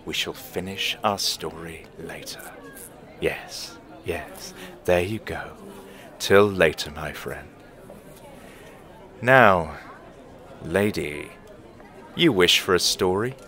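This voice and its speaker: Deep, unhurried mysterious vagrant storyteller. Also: male voice, bright, forceful tone, a faint crowd, exaggerated voice. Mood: sly, ominous. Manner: deliberate, theatrical, teasing. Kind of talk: audio drama acting.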